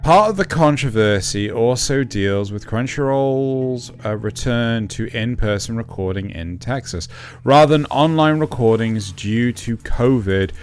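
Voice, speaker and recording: Animated man with a Kent accent. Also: fairly low pitch. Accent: Kent English. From Wales. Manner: animated, emphatic, keeps asking "right?". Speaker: podcast co-host. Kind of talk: casual chat.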